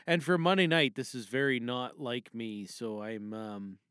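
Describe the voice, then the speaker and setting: A middle-aged man talks loosely and casually into a podcast, audibly tipsy and wandering as he goes. His voice is smooth and clear at an ordinary pitch, recorded dry and close with very little room sound. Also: a bit bright, dry recording. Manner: rambling, halting, loose. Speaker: podcast contributor. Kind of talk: unscripted casual monologue.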